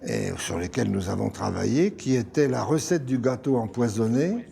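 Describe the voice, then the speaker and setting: A man's voice: medium pitch, lively intonation, normal speed, creaky, mellow, slight background noise. An older man telling anecdotes in an interview about his work in animation. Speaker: animation writer recalling productions.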